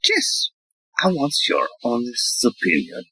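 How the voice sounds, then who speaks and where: Pompous bumbling inspector played by a guy, super animated and bright, pitch all over, comedy radio play. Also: unhurried, breathy edge, dry recording, exaggerated voice, low-quality recording. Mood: magnanimous. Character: pompous, bumbling, vain male police inspector. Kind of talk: comedic audio play acting.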